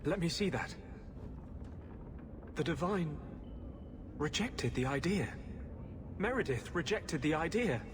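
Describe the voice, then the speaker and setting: An actor playing a shaken, brooding young male mage in a video game, with halting, troubled delivery. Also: fairly high pitch, animated, background noise.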